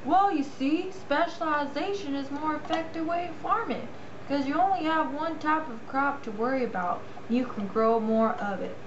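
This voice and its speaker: A woman's voice: high-pitched, moderate pace, reverberant, lo-fi sound, noisy background, lightly acted. A woman playing an instructive farmer puppet in a scripted educational show. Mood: explanatory. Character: explanatory farmer puppet, addressed as Mister.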